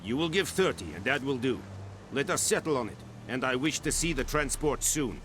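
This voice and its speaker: An actor playing a stern, pragmatic commander of an occupying army in a video game, formal and measured, speaking with a foreign accent. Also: male voice, medium pitch, animated, fairly bright tone, slight background noise, lightly acted. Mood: firm, earnest. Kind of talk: dramatic video game acting.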